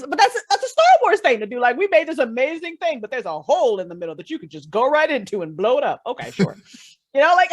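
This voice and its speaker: A woman guest, a writer, talking casually on a podcast in an animated, opinionated, sweary way. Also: medium pitch. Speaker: guest, writer and creator of an audio drama.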